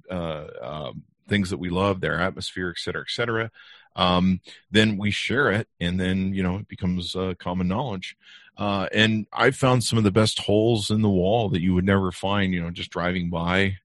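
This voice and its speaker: A man's voice: low voice, a bit bright, dry recording, studio quality. A man hosting a podcast, talking casually and rambling with many fillers and restarts. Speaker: podcast host. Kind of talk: casual interview chat.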